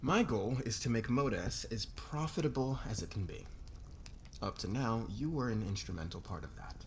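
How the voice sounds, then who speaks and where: A man playing a demanding, smug corporate boss in an intimate ASMR roleplay, probing and deliberate, with a threatening manner. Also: mid-range voice, expressive, slight background noise, close to an everyday voice. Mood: grudging admiration. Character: dominant, demanding male corporate boss, smug and calculating interrogator.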